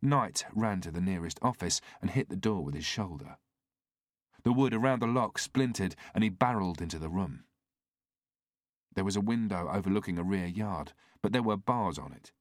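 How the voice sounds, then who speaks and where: A man's voice: on the low side, animated, moderate pace, no echo, studio quality. A man reading a scripted thriller as an audiobook narrator.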